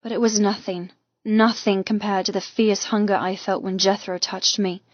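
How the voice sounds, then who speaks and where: A woman reading a first-person romance audiobook with intense, expressive, dramatic delivery. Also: mid-range voice, moderate pace, no echo, lo-fi sound. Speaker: audiobook narrator.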